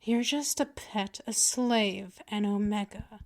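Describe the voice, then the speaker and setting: A woman playing a dominant, patient female vampire mistress in an ASMR roleplay, teasing, menacing and condescending in a deliberate delivery. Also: mid-range voice. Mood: stern, threatening. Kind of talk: ASMR roleplay, scripted monologue.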